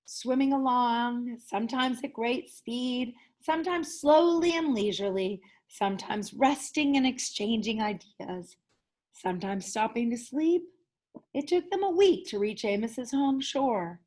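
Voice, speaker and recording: A woman, a teacher, narrating a children's picture book aloud in an expressive storytelling style. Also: on the high side, unhurried.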